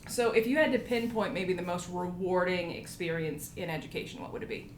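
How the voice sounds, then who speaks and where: Lower-voiced American woman hosting, echoey room. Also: brisk. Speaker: podcast host. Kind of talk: conversational interview. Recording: echoey room with faint background noise.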